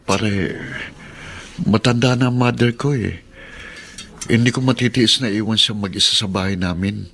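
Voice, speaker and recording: A man's voice: fairly low pitch, normal speed, creaky, pauses often, a bit bright. A man playing a weary, apologetic husband, subdued and troubled, in a radio drama. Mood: dejected. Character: weary, apologetic husband torn between mother and wife. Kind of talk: Tagalog radio drama acting.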